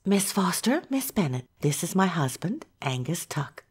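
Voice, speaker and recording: A woman playing a kindly, hospitable country mother in an amateur audio drama, gentle and placating, with a husky voice. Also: fairly low pitch, sing-song, measured pace, heavily acted. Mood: cheerfully hospitable.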